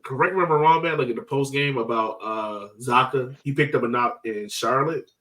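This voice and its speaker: A man hosting a casual sports fan podcast, talking in an animated, slangy, exclaiming way. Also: medium pitch, a little fast, distant mic. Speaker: podcast host.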